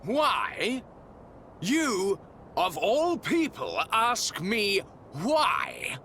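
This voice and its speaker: An actor playing a sneering, envious traitor knight in a video game, with a mocking theatrical drawl. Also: male voice, sits high, wildly swooping pitch, very slow, gravelly, bright, forceful tone, a little hiss or hum, exaggerated voice. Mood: incredulous. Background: orchestral game music.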